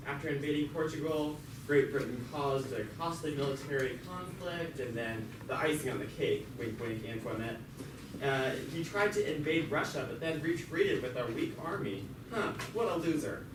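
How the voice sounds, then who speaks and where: A man's voice: medium pitch, animated, normal speed, lightly acted. A man playing a talkative jailed revolutionary who narrates his story in an amateur puppet show with sardonic, stumbling asides. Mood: wry. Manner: conversational, sardonic asides, stumbling over words. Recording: echoey, very noisy, distant mic.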